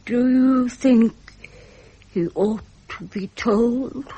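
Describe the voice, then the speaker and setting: An actress playing a frail, ailing old queen with a breathy, cracked, faltering voice in a radio drama. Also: on the high side, drawn-out words, warm tone, exaggerated voice. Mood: frail, plaintive. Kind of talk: British radio drama acting.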